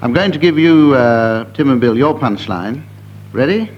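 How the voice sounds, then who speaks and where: A man of medium pitch chairs a comedy panel game. He addresses the players as a host on a slightly muffled recording with faint noise behind him. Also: expressive, normal speed. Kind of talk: comedy panel show hosting. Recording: dull, faintly noisy old broadcast recording.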